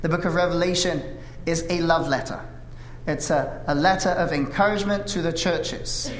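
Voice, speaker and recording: A man in his thirties from South Korea, whose first language is Korean, preaching a sermon with an earnest, measured delivery and frequent "uh" fillers. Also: a little high. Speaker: pastor. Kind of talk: sermon with opening prayer. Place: church sanctuary. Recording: echoey hall reverb, faint room noise.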